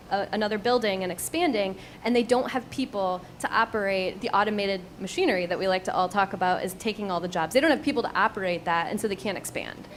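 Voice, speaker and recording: Woman executive on panel, mid-range voice, fluent explanations, faint noise. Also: mid-range voice. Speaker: company co-founder and panelist. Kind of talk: panel discussion answers.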